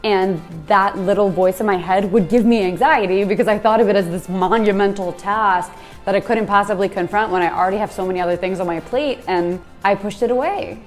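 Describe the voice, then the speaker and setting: A woman's voice: mid-range voice, a little fast, faint music behind. A woman in her twenties from the United States, giving a candid, self-deprecating talk and telling stories from her own life. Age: 20s. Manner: candid, self-deprecating, storytelling with small laughs. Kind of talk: reflective personal monologue.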